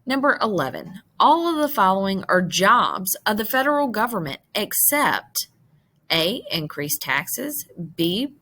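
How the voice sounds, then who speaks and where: A woman teacher reading multiple-choice test questions aloud, with deliberate, emphatic enunciation of each option. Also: fairly low pitch, fairly bright tone.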